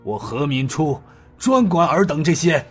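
A man's voice: on the high side, very animated, unhurried, soft background music, voice pushed up. A man voicing a stern, scheming county magistrate with a commanding, sneering way of talking. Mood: scornful.

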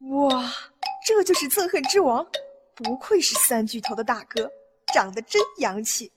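A woman's voice: high voice, animated, normal speed, over music. An actress playing an awed young woman who gushes in breathless exclamations in a comic audio drama. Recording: long echoing reverb.

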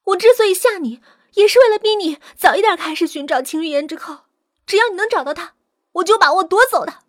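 A woman voicing a scheming, desperate landlady in an audio story, shrill and pleading. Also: expressive, fast talker, no echo, clean studio sound. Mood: resentful confessing. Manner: shrill, pleading, frantic when threatened. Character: scheming, desperate landlady begging for her life.

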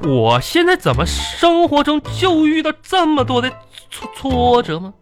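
A man's voice: extremely high pitch, big pitch swings, a little slow, music in the background, exaggerated voice. A man playing a needy, pushy office worker in a radio comedy sketch, with a stammering, pleading way of talking. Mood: flustered, whiny.

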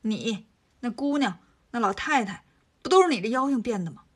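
A woman's voice: a little high, expressive. Woman doing a cocky monkey king. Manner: brisk, cocky, scolding. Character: bold proud monkey king, male, impatient.

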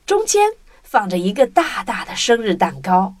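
A woman's voice: mid-range voice, very animated, normal speed. A woman reading scripted narration for a children's story.

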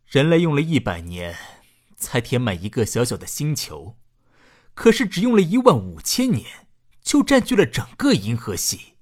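Male actor as earnest space-fleet analyst, radio drama. Medium pitch, hugely varied melody, pressing his case. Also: very animated, moderate pace. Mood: urgent, insistent. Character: earnest, insistent space-fleet data analyst warning of overcrowding. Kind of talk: theatrical radio drama acting.

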